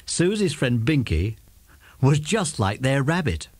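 A man's voice: mid-range voice, very animated, a little slow, darkish tone, breathy edge, dry recording. A man with an English accent reading scripted narration for a children's story as its narrator.

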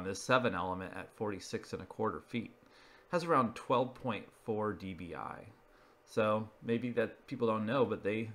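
A man's voice: fairly low pitch, fairly bright tone. A man presenting an informal narrated slideshow, explaining each item in a matter-of-fact way. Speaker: video presenter.

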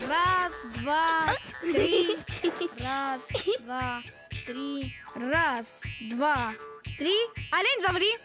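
Spirited cartoon kid, high voice, measured pace, music underneath. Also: female voice, expressive, full character voice. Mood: playful, insistent. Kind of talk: animated series voice acting.

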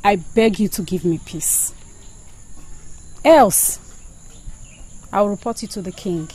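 A woman's voice: medium pitch, slow pace, darkish tone, slightly rough. An actress playing a young woman, newly married to a prince, who coldly dismisses her old lover. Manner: cold, dismissive. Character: young bride of a prince, cold and firm.

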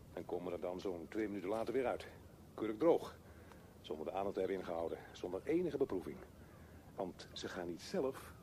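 A man's voice: fairly low pitch, a little mellow, a little hiss or hum. An actor playing a brooding, restless man thinking aloud in a radio drama, with theatrical, broken phrasing. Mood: restless, urging himself.

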